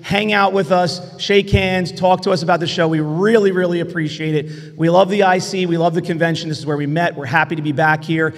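Casting: American male podcast host, slightly high raspy voice worn hoarse, casual, recorded in an echoey hall. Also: steady tone, fairly quick, few pauses. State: hoarse from days of talking. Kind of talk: casual convention panel chat. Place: large convention hall. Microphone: boom-arm podcast microphone.

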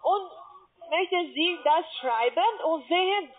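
High-voiced foreign-accented woman on the phone. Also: animated. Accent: foreign accent in German. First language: not German. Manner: halting, repetitive, broken grammar. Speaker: call center telemarketer. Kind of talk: scripted phone sales pitch. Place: call center, on the phone. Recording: muffled, tinny phone line.

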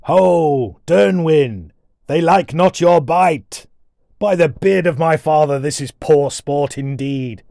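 A man voicing a boisterous dwarf warrior prince with a booming voice and grand, archaic speech, in a storybook reading. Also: animated, measured pace, darkish tone, dry recording, studio quality. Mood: bellowing scorn. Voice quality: deep, booming.

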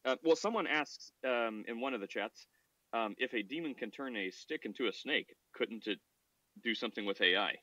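A man's voice: medium pitch, expressive, normal speed, sharp tone, dry recording. A man hosting a casual podcast discussion, asking long rambling questions in a teasing manner. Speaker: podcast host. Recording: dull dry lo-fi mic.

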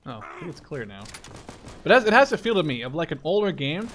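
A man's voice: fairly high pitch, big pitch swings, fast talker, a little hiss or hum. A man giving casual, rambling gameplay commentary as a gaming YouTuber, drifting easily off track. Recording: loud gunfire and artillery behind the voice. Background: shooter game gunfire, explosions.

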